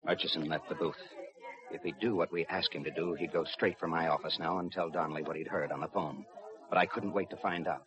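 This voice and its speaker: Deep male hardboiled cop-narrator for vintage radio crime drama, acted: gruff, terse, commanding. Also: fairly even intonation, brisk, a crowd in the background, lightly acted, rough recording. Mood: terse narrating. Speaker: lead actor and narrator. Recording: old 1950s radio broadcast.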